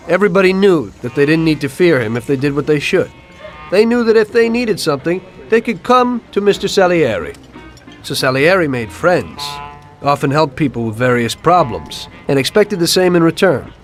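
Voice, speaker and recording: A man's voice: a little high, very animated, normal speed, soft background music. An actor playing a world-weary mobster who narrates his past matter-of-factly in a crime video game. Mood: matter-of-fact, reflective. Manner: plain-spoken, matter-of-fact.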